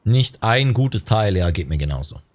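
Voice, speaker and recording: A man's voice: on the low side, expressive, normal speed. A German man giving casual, slangy, joking live-stream commentary as he plays a game. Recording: game music behind the voice.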